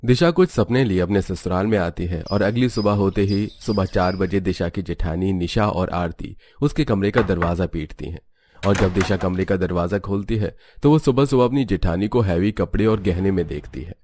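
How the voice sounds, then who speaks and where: A male storyteller with a low voice narrates a domestic cartoon tale. His delivery is scripted narration, set out as a story for viewers. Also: moderate pace, darkish tone, slight background noise.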